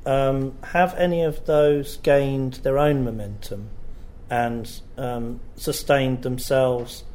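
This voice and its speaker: A man's voice: medium pitch, fairly even intonation, slow, darkish tone. A man interviewing a guest on the radio, supportive, often prompting and finishing her sentences. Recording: faint background noise.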